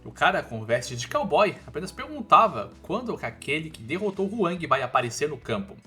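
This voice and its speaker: Lively, bright Brazilian male narrator. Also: fairly high pitch, moderate pace. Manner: colloquial, informal. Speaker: recap channel narrator. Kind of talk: scripted story recap narration with casual asides. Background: background music.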